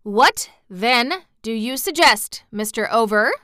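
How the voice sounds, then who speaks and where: A woman's voice: a little high, lively intonation, slow pace, pushed and bright, no echo, clean studio sound, voice pushed up, heavily acted. A woman voicing a portly, self-important bun man in a storybook, stern, stiff and formal. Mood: formal, approving.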